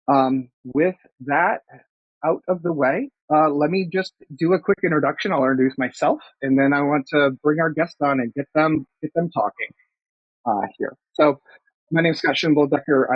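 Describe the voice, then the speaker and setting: A man's voice: on the high side, moderate pace, no room sound. Raised-voice man hosting a webinar. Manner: loose, filler-heavy, restarting phrases. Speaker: webinar host. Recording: dry, dull lo-fi sound.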